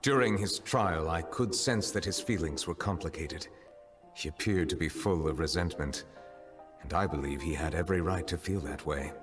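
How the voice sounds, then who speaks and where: A man voicing a grave, formal, humble chief justice in a video game, speaking with measured courtesy. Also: deep voice, music in the background, close to an everyday voice.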